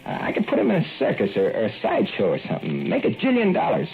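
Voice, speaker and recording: An actor playing an excitable rural young man who raises a giant fly, in a folksy drawl that swings from hollering to hushed murmurs, in a 1940s radio play. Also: medium pitch, fast. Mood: boastful. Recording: muffled old radio broadcast, faint music.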